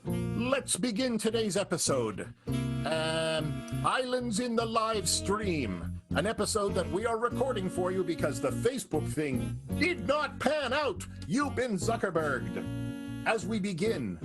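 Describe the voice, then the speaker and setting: A man announcing an improvised radio comedy show in a showy, theatrical way with drawn-out emphasis. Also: high-pitched. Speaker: announcer, narrator.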